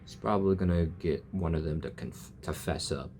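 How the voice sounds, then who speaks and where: A man's voice: deep voice, fairly quick, a little mellow. A man doing casual, blunt and joking reaction commentary as he plays a video game. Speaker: gaming video creator. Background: faint game music.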